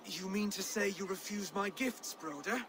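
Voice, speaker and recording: A man's voice: high voice, moderate pace, background noise, close to an everyday voice. An actor playing an earnest, principled young Saxon king in a video game, speaking formally and with resolve. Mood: firm. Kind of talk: dramatic video game acting.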